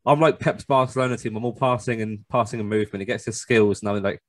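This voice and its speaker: A man's voice: on the low side, fairly even intonation, fast talker. A man in casual chat as a co-host, often repeating words as he starts a thought. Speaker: podcast co-host and guest.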